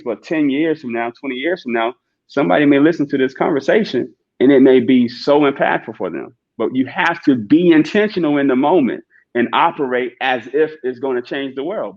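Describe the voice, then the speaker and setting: A man's voice: mid-range voice, lo-fi sound. A man guest on a podcast, talking earnestly and reflectively about relationships and faith, with a preacher-like rhythm and frequent "right?" asides.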